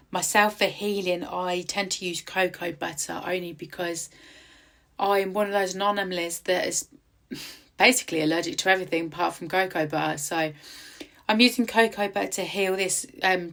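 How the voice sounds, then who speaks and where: A tattoo artist with a croaky voice, chatting casually in a rambling voice-over with many ums, recorded outdoors in quiet countryside. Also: female voice, on the low side, steady tone, normal speed.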